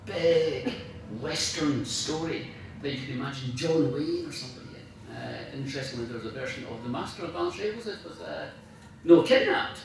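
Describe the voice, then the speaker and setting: A man's voice: on the high side, fairly quick, gravelly. A man giving an informal after-dinner talk as a writer, speaking with enthusiasm and emphasis and often searching for his words. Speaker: guest speaker, radio dramatist. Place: large indoor room at a club dinner. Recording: very echoey room, distant mic, faint noise.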